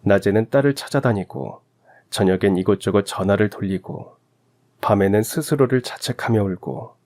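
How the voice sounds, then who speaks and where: Low-pitched man narrating a story. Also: a little mellow. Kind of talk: scripted first-person story narration.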